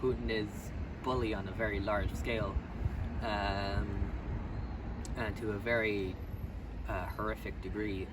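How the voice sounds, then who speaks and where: Young man, 18, low voice, very slow, hesitant, far from mic, loud traffic outdoors. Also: fairly even intonation. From Ireland. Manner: slow and hesitant, many ums. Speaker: interviewee. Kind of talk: street interview answers. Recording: distant mic, loud street noise.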